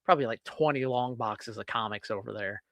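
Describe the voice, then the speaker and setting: A man co-hosting a podcast, rambling on at an easy pace from his home office. His voice sits at a middle pitch and he swears now and then. The sound is dry and close. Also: fairly quick, a bit bright, no room sound. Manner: rambling, laid-back, swears casually. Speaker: podcast co-host. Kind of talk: casual chat.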